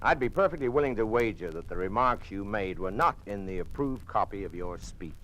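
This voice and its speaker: A man's voice: fairly low pitch, animated, a little fast, a bit bright. An American man acting as a governor's cautious, pragmatic doctor friend in an old radio drama, scolding and urging with emphasis. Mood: exasperated. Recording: noisy old-time radio recording.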